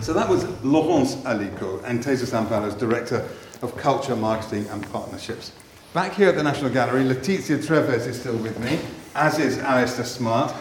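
A man interviews guests in an art gallery, asking questions in a halting way and often repeating words. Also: mid-range voice, animated, music in the background. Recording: roomy gallery echo.

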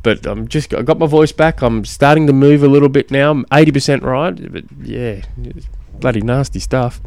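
A man hosting a casual solo podcast, slightly hoarse from a cold, rambling with many ums and restarts. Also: mid-range voice, moderate pace, darkish tone, no room sound, studio quality. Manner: rambling, self-deprecating, lots of ums and restarts. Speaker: podcast host and cycling coach.

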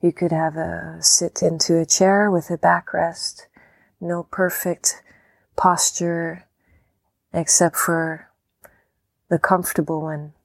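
A woman leading a guided meditation, speaking calmly and gently in short phrases with long pauses. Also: lowish voice, gravelly, no room sound, low-quality recording. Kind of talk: guided meditation, softly spoken instruction.

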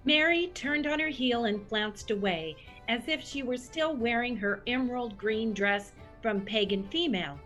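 A woman's voice: fairly high pitch, normal speed, hardly any pauses, a bit bright, soft background music, lightly acted, rough recording. A woman playing the narrator of a comic audio drama, reading scripted description in a wry storytelling manner.

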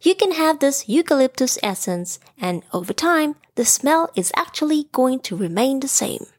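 A woman's voice: sits high, big pitch swings, moderate pace, breathy edge, no echo, clean studio sound, heavily acted. A woman voicing a confident, helpful koala who explains things eagerly in a bedtime story.

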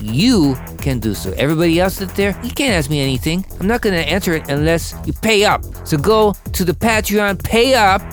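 A man's voice: high voice, big pitch swings, a little fast, few pauses, soft background music. A man hosting a podcast with a scratchy voice, rambling and joking at his own expense. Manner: chatty, joking, self-mocking. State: hoarse, scratchy voice. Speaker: podcast host. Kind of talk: casual rambling monologue.

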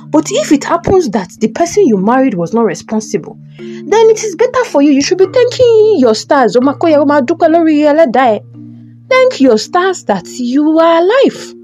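A woman's voice: fairly high pitch, dark tone. A woman playing a stern but caring older father in an audio drama, emphatic and admonishing, with a Nigerian accent.

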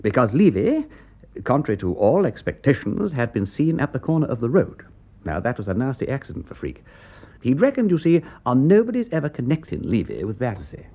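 An actor playing an aristocratic English gentleman detective in a radio drama, with an upper-class English accent and an urbane, emphatic way of talking. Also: medium pitch, huge pitch swings, a little fast, husky, full character voice. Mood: keen, pondering. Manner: urbane, emphatic, drawling question tags. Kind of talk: British radio drama acting. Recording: dull band-limited radio recording.

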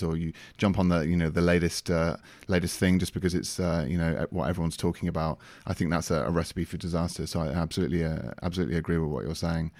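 A man hosting an interview in a conversational style, forming his questions and comments deliberately with repeated words. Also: low-pitched, no echo. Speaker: interviewer, host.

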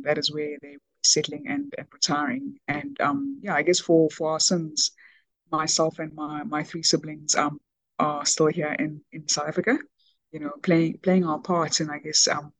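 A woman's voice: low voice, little pitch movement, moderate pace, no room sound, poor audio. A woman originally from Ghana, speaking as an interview guest with frequent ums and restarts. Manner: hesitant, many ums and restarts. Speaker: podcast guest, business executive. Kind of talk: conversational interview.

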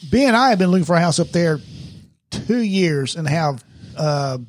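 A man's voice: on the high side, animated, moderate pace, no room sound. A man, co-hosting a casual podcast chat and teasing his guests. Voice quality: nasal. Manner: joking, teasing banter. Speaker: podcast co-host.

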